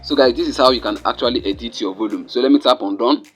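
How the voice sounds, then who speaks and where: A man's voice: medium pitch, fast, faint music behind. A man narrating a phone app tutorial step by step, addressing viewers directly in an instructional way. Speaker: tutorial host.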